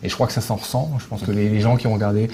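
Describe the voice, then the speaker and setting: A man talking casually as an interview guest, explaining in an informal, halting way and often restarting his phrases. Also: lowish voice, flat delivery, mellow, a little hiss or hum. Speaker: guest, video game translator.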